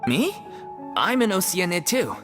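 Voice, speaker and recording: A man's voice: high voice, sing-song, unhurried, a bit bright, soft background music, exaggerated voice. A man playing an easygoing, hearty young stage actor in a video game. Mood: breezy.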